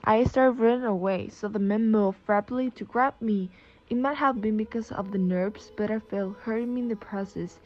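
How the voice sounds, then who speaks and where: A woman's voice: mid-range voice, normal speed, natural voice. A woman playing a defensive young schoolboy in an amateur radio drama. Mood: recounting, uneasy. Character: defensive young schoolboy recounting his nightmare. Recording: slightly dull, muffled microphone.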